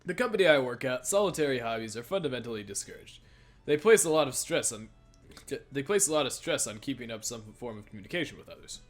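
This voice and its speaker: A man reads game dialogue aloud in a casual, halting way, tripping on phrases and starting them again. His voice is of medium pitch, with music playing faintly behind it. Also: animated. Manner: halting, stumbles and repeats phrases while reading. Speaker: let's play host reading a visual novel aloud.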